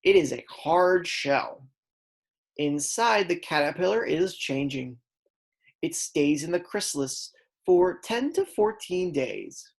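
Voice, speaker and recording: Woman, low pitch, slow pace, teacher's read-aloud, instructional, deliberate, stressed key words. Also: lively intonation, vocal fry, breathy edge. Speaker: kindergarten teacher reading aloud. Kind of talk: read-aloud lesson for young children.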